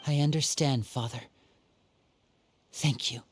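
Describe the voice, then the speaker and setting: A man's voice: mid-range voice, steady tone, slow, a little hiss or hum, natural voice. Humble young man in long reverb, acted. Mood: grateful, moved. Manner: earnest, deferential. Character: humble, self-doubting young follower and adopted child. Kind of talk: dramatic video game dialogue. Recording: long reverb, music behind.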